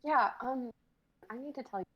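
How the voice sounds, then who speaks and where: A woman's voice: high-pitched, normal speed, dull audio, a crowd in the background, natural voice. A woman playing a conflicted, guilt-ridden young woman on a date, in a conversational comedy sketch reading. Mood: awkward. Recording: faint noise, amateur livestream audio.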